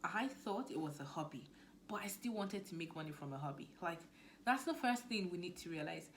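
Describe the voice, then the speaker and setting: A young woman with a Nigerian accent talking casually to camera as a business coach, lively and now and then dropping to a near-whisper. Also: fairly low pitch, brisk, a little hiss or hum. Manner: chatty, animated, drops to near-whisper asides.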